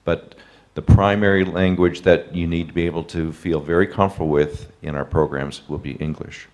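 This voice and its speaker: A man, a professor, answering questions in a webinar over a video call in a measured, explanatory way. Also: low voice, steady tone, a little mellow.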